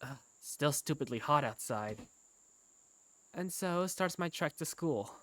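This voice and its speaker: A woman's voice: low voice, expressive, a little slow, fairly bright tone. A woman narrating an amateur visual novel in the first person as its lead character, with a wry, conversational manner.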